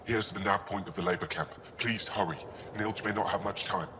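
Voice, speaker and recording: An actor playing a grim alien man who speaks bluntly and urgently about his family, with a gravelly voice. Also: fairly low pitch, fairly quick, background noise, lightly acted. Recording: game dialogue over clear music. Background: music.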